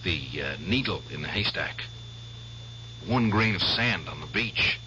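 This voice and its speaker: An actor playing an obsessed war veteran in a 1970s radio drama, intense and bitter. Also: male voice, low voice, animated, a little slow, bright, forceful tone, slight background noise, full character voice. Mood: menacing. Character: obsessed veteran hunting an old comrade. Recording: dull vintage radio broadcast.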